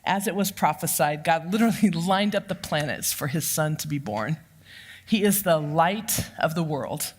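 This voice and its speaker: A woman in her fifties with a loud voice, giving a lively, humorous sermon full of personal stories. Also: lowish voice. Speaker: church counseling director giving the sermon. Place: church sanctuary. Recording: long reverb of a church hall.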